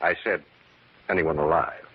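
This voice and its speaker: An actor playing a brooding, obsessed man pursuing a dark mystery in an old-time radio drama. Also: a bass voice, fairly even intonation, measured pace, slightly rough, heavily acted. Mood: brooding, determined. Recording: muffled vintage radio recording.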